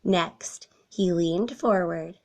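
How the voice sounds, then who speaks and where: Slow, low-pitched woman reading a storybook. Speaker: storybook reader. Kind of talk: children's read-aloud narration.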